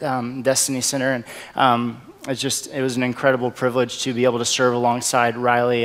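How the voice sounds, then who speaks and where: A young man speaking as a guest missionary at a church, halting and full of ums and restarts. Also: mid-range voice. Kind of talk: church sermon introduction. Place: church sanctuary. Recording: long reverb of a church hall.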